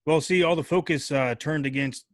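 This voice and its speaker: A man's voice: a little high, steady tone, moderate pace, dry recording, clean studio sound. A man hosting a casual podcast interview, talking loosely with plenty of filler words. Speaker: podcast host.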